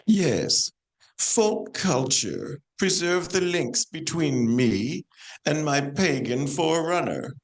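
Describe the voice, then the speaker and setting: A dignified saint acted by a woman declaims scripted stage lines. The delivery is very unhurried, with lively rises and falls in pitch. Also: full character voice. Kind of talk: amateur stage play, scripted monologue. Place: church hall, live performance.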